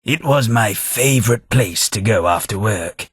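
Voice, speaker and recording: An actor playing a forgetful older gentleman in a game, speaking in a husky, measured and formal way. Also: lowish voice, animated, no room sound, studio quality. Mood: calm. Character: forgetful older English gentleman companion, weary and reflective. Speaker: game companion character. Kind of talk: video game voice acting.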